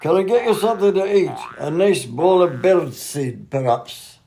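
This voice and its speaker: A man voicing an eccentric, gruff Scottish farmer in a comedy radio play, folksy and matter-of-fact about absurd things. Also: fairly high pitch, normal speed, a crowd in the background. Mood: breezy, odd. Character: eccentric old-fashioned Scottish farmer, touchy, gruff host.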